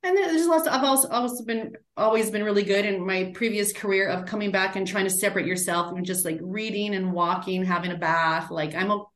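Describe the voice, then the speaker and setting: A middle-aged woman talks in an easy, chatty way and keeps checking in with "right?". Her pitch sits in the middle, and the room adds a clear echo. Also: fairly quick, hardly any pauses. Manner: chatty, warm, many "right?" and "like" tags. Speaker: guest, end-of-life doula. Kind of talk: casual interview talk.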